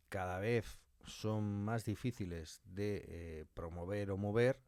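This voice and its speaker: A middle-aged man from the world of audio fiction speaks with a Spanish accent from Spain in a low voice. He answers conversationally, reflecting aloud and building examples as he goes. The sound is dry, with very little room in it. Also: a little mellow, dry recording. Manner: reflective, thinks aloud with examples and imagined quotes. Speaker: interviewed audio fiction writer and director.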